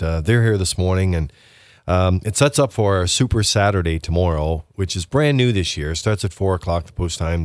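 A man's voice: sits low, darkish tone, dry recording, clean studio sound. A man from Prince Edward Island, a racetrack representative, talking casually as a radio guest with lots of fillers and restarts. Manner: rambling, many fillers and restarts.

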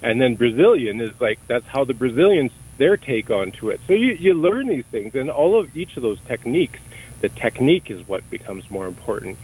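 A man's voice: mid-range voice, big pitch swings, a little fast, a little mellow, breathy edge, rough recording. A middle-aged man from Canada, speaking as an interview guest in a thoughtful, searching way with many restarts and repeated words. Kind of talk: conversational interview.

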